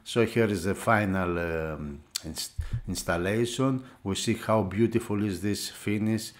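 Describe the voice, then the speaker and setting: A man who is a non-native English speaker gives an unscripted hands-on product review, pausing often as he searches for words. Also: lowish voice. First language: not English. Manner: halting, searching for words, with frequent hesitations. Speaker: product reviewer for an audio magazine.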